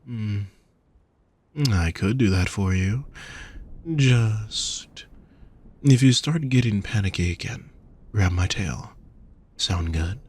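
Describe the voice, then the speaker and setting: Low, husky, gentle demon lover, voiced by a man. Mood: affectionate, coaxing. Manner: soft, unhurried, tender teasing. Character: gentle, teasing male demon, affectionate monster boy. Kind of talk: intimate audio roleplay addressed to the listener.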